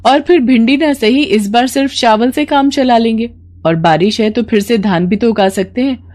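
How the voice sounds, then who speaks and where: A woman voicing an earnest, resourceful young village daughter-in-law, eager and respectful, in an animated story. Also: fairly high pitch, normal speed, pitched above the performer's own voice, natural voice. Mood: determined. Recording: noticeable background noise.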